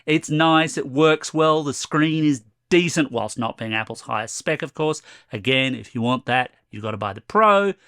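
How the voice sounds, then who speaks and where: A slightly hoarse man in his forties giving a chatty, wry tech review to camera from a room at home. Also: on the high side, expressive, moderate pace, few pauses, fairly bright tone, no echo, studio quality. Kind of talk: casual product review to camera.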